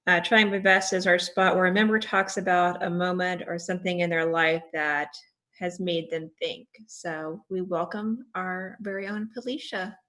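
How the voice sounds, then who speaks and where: A woman's voice: lowish voice, fairly even intonation, normal speed, bright, forceful tone. A woman hosting an assembly, informally introducing the next speaker. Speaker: host of an assembly.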